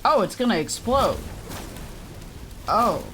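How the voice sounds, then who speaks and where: A woman's voice: on the high side, sing-song, slow, fairly bright tone, a little hiss or hum. A woman streamer giving blunt, sarcastic, casual commentary on a game. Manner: blunt, sarcastic, swearing, giggly. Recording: faint game music behind.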